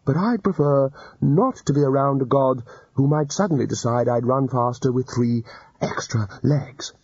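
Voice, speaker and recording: A man's voice: medium pitch, moderate pace, a little mellow, breathy edge, no echo. A man reading aloud and voicing a fussy, wry older male wizard. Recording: dull lo-fi audiobook recording.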